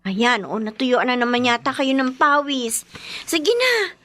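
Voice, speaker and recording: A woman's voice: high-pitched, lively intonation, measured pace, a bit bright. An actress playing a patient, dutiful schoolgirl daughter in a Tagalog radio drama, with a Filipino accent. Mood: caring, gently firm.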